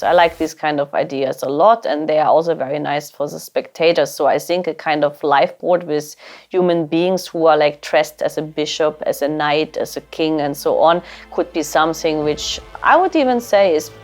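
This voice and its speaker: A woman with a notably low voice speaks thoughtfully and with some enthusiasm. She sounds like she is sharing ideas in a documentary interview. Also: moderate pace, darkish tone, soft background music.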